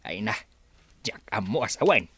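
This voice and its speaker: A man's voice: on the low side, expressive, moderate pace, fairly bright tone, slightly rough, heavily acted. A man with an Ilocano accent playing a stern, disappointed older father in a radio drama. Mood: weary, regretful.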